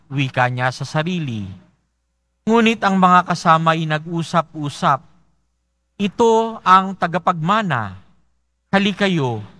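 A man with a Filipino accent, a priest reading the Gospel aloud in a large church in a slow, measured way. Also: on the high side, pushed and bright, dry recording. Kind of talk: reading the Gospel and preaching at Mass.

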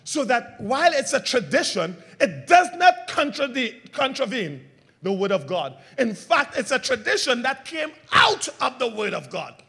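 A man preaching a sermon as pastor, asking the congregation call-and-response questions and swinging between loud emphatic lines and quiet low ones. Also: big pitch swings, normal speed, breathy edge.

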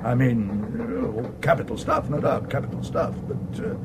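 An actor playing an absent-minded, irritable old earl in a radio comedy, halting and stammering, with an upper-class English accent. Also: male voice, mid-range voice, close to an everyday voice. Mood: flustered, peevish. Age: older. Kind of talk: 1980s radio comedy acting. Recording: noisy old radio drama recording.

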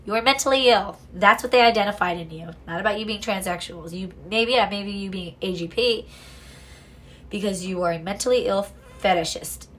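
A woman's voice: mid-range voice, fairly quick, a bit bright, a little hiss or hum. A young woman giving casual, blunt true crime commentary from a small home room, swearing freely and breaking into sarcastic asides. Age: young adult. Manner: blunt and profane, sarcastic asides, frequent restarts.

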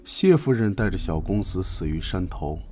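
A man acting a sly, sardonic imperial court official in a Chinese period audio drama, measured and insinuatingly polite. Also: deep voice, big pitch swings, darkish tone. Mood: sly politeness.

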